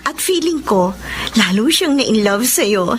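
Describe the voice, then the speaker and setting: Girlish filipina bestie in radio drama, teasing n supportive, high pitched, noisy audio. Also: takes it slowly. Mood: teasing, excited. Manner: animated, girlish, bantering. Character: warm, teasing, supportive young woman best friend.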